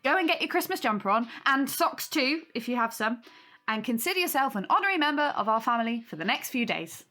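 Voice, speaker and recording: Casting: young aunt, playful and welcoming, lively, fairly high voice, music behind. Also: female voice, quick pace, full character voice. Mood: teasing. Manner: friendly, chatty, gently teasing. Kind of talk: naturalistic audio drama acting.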